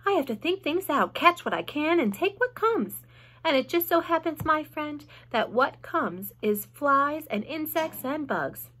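Bold clever spider lady, a woman doing her, swoops way up high, crisp bright tone, matter-of-fact. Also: a little slow, full character voice. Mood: sharp. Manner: lively, sudden high exclamations, some hushed whispered asides.

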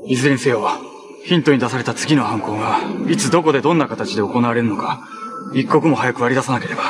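A man's voice: mid-range voice, quick pace, close to an everyday voice. Man acting a grave investigator, heavy background noise. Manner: formal, grave. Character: grave, serious male investigator. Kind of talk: live-action TV drama acting. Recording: very noisy TV drama soundtrack.

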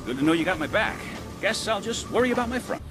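A man's voice: high voice, lively intonation, fast talker. An actor playing a cocky, wry male superhero from the future in a video game. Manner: confident, wry. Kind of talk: video game cutscene acting. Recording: loud music over the voice.

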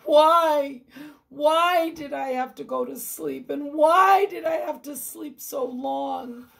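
A woman's voice: lively intonation, drawn-out words, warm tone, full character voice. A woman storyteller voicing a distraught male leper who cries out in despair. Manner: high, crying out in despair. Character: anguished male leper, frantic and tearful.

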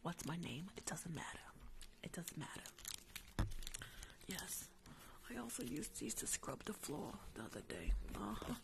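A woman's voice: low-pitched, big pitch swings, a little slow, gravelly, long pauses. A young woman whispers close to the microphone in an ASMR roleplay as a rude dental worker, sassy and chiding, often repeating short phrases. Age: young adult. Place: small room at home, indoors. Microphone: large tabletop microphone, very close.

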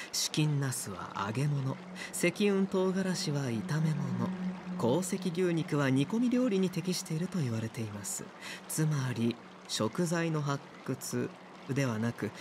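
An actor playing a polite, gentle young male physician in a game dub, explaining things in a measured way. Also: fairly high pitch, background noise.

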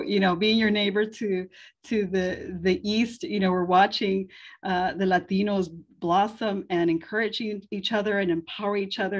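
A middle-aged woman moderating a webinar panel in an upbeat, chatty way. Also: mid-range voice. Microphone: video call. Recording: muffled video call audio.

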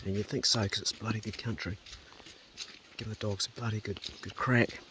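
A man's voice: lowish voice, normal speed, background noise. Easygoing New Zealand homesteader man. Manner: relaxed, practical, coaxing the dog with "good boy" and "mate". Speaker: homesteading vlogger. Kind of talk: casual explanatory vlog, talking to his dog. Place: outdoors on a rural homestead and in bush. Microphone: body-worn action camera.

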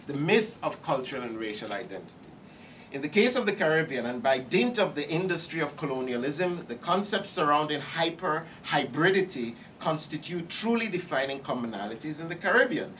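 A man's voice: on the high side, sing-song, a little fast, fairly bright tone, slight background noise. A middle-aged man giving a keynote lecture from a podium, partly reading his text and partly speaking off the cuff, often repeating words as he searches for phrasing. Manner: gestures broadly, repeats words while reaching for phrasing. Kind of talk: academic lecture, partly read. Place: lecture hall, behind a podium. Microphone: podium microphone. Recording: slightly distant, faint room ambience.

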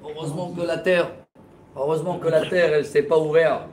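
Lively rabbi guy laughing through his stories, kinda high voice, webcam in a classroom. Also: fairly quick, a crowd in the background. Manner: animated, laughs while telling stories, gestures a lot. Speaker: rabbi teaching a Torah class. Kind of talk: lively storytelling lesson. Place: classroom with bookshelves, indoors. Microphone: laptop webcam at close range.

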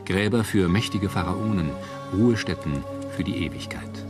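A man reads scripted documentary narration in a measured, solemn storytelling manner. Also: sits low, over loud music.

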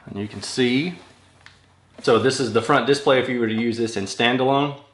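A man's voice: mid-range voice, normal speed. A man in his thirties giving casual, think-aloud unboxing commentary in an informal manner, in a small workshop room. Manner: informal, thinking aloud while handling items. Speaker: product reviewer unboxing audio gear. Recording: clear crinkling and handling noise. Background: packaging crinkles, clicks, rustling.

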